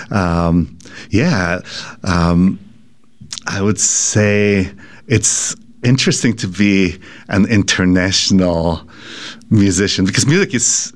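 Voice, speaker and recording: A man with a Polish accent, a cellist guest who speaks hesitantly with many fillers. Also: deep voice. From Poland.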